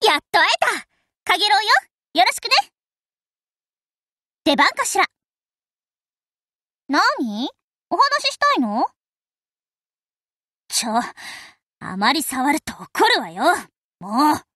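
A woman's voice: high voice, huge pitch swings, slow, long pauses, sharp tone, dry recording, studio quality, heavily acted. An actress voicing a spirited, confident teenage warship girl in an anime game, with a perky, cheeky, self-assured delivery. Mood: cheerful, eager, then annoyed. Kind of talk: anime game character voice lines.

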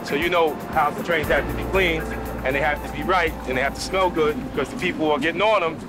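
A man's voice: fairly high pitch, fast, over loud music. A man working as a rail yard foreman, explaining train cleaning conversationally. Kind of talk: casual explaining.

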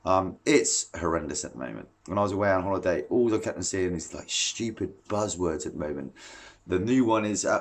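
A man, ranting to a camera at home about fishing, blunt and exasperated, gesturing as he talks. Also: fairly low pitch. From England. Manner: blunt, animated and exasperated, gestures while talking. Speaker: fishing vlogger. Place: living room at home, indoors. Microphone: webcam at desk distance.

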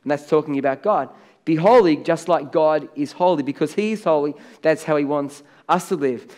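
A middle-aged Australian man preaches from a large church stage, his voice sitting a little high for a man. He talks in a conversational way, then presses up hard on the words he wants to land. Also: moderate pace, a little mellow. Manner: conversational preaching, rising to emphatic on key words. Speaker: pastor. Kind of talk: sermon.

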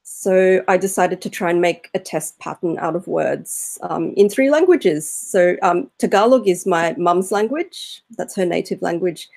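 A young woman with a fairly low voice talks slowly and unhurriedly about her poetry. She pauses often and fills the gaps with small hesitations, speaking into a laptop webcam from a room at home. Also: lively intonation, creaky, darkish tone. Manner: unhurried, hesitant, many fillers. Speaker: poet, panel guest. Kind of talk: casual video-call talk about her work. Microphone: laptop webcam on a video call.

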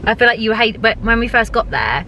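A woman's voice: on the high side, big pitch swings, brisk. An English woman in her thirties chatting casually and informally to a vlog camera. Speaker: vlogger. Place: parked car, later indoor room at home. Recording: clear background noise of car and room.

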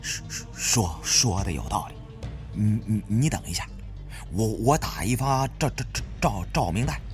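A man's voice: fairly low pitch, animated, a little slow, soft background music, voice pushed down. Man acting a stuttering tomb raider sidekick. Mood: halting, wary. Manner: heavy stutter, repeating syllables.

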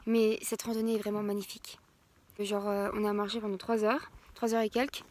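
Une jeune femme d'une vingtaine d'années qui raconte son road trip en vlog, bavarde et essoufflée après la montée, filmée au téléphone tenu à bout de bras. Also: female voice, mid-range voice, level tone, brisk. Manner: chatty, breathless after climbing. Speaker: travel vlogger. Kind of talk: casual travel vlog. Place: outdoors on mountain trails and in a motel room. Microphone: phone held at arm's length.

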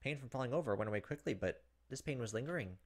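A man's voice: medium pitch, animated, fast. A man in his thirties hosting a let's play, reading game narration aloud with casual asides.

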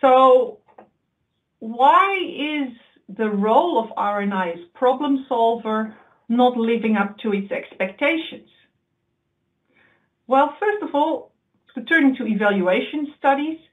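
Woman presenting over tinny call audio. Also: on the high side. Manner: measured, explanatory, with occasional slips and restarts. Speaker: research presenter. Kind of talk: webinar slide presentation.

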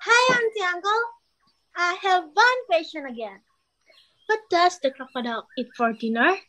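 A young woman playing a curious, polite elephant child in a readers theater, asking questions in a drawn-out way. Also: extremely high pitch, sharp tone, rough recording. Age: young adult. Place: room at home, on video call. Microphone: video call microphone.

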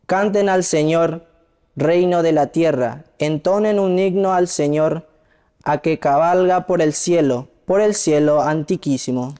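Slow, clear young man reciting in reverberant chapel. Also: on the high side, warm tone. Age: young adult. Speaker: psalm reader. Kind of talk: solemn psalm recitation at Mass. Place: indoor chapel.

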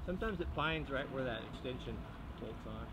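A man's voice: mid-range voice, animated, a little fast. A man talking casually as he works outdoors at a sawmill. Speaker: sawmill operator. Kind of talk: casual work talk. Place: outdoors in a yard beside a portable sawmill. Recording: loud outdoor machinery noise.

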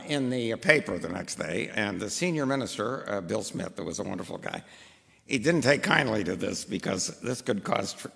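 An older male pastor with a gravelly voice, preaching into a pulpit microphone. He talks in a rambling, anecdotal way full of "uh"s, and his voice rings with long church reverb. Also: on the low side, fairly bright tone. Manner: rambling, anecdotal, with frequent fillers. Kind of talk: sermon with personal stories. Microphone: gooseneck pulpit mic.

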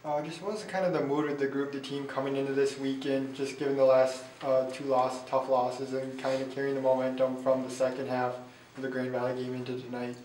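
A man interviewing players after a basketball game, asking measured questions with a few "uh"s. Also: medium pitch, little pitch movement, a little mellow. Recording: echoey room, distant mic, faint hiss.

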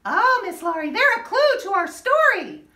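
A woman voicing an excitable, cheerful little girl puppet in a children's story time, exclaiming in an exaggerated sing-song way. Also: very high voice, moderate pace, voice pushed up, exaggerated voice. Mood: excited. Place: indoors, living room. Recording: echoey living room.

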